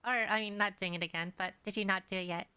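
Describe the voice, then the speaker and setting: A woman's voice: medium pitch, steady tone, fast talker, bright, forceful tone, clean tone, no echo. A young woman streaming a game, chatting casually and hesitantly with many fillers. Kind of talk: casual live-stream chat. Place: home streaming setup.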